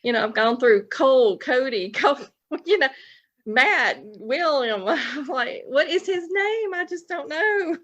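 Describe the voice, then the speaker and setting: A woman's voice: sits high, lively intonation, unhurried, a bit bright. A woman talking casually as a guest in an informal conversation, recorded in dull, lo-fi sound. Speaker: podcast guest, novelist. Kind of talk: casual chat. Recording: dull, lo-fi audio.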